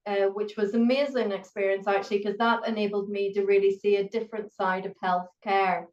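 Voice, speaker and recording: A middle-aged woman with a Northern Irish accent, a guest pharmacist chatting in an interview, self-deprecating and full of fillers. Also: medium pitch. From Northern Ireland. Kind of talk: conversational interview answers. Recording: roomy, distant, dull-sounding remote audio.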